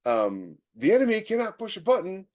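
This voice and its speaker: A man's voice: high voice, huge pitch swings, brisk, darkish tone. An American man hosting a podcast in casual chat, opinionated and given to illustrating his points with anecdotes. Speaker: podcast host.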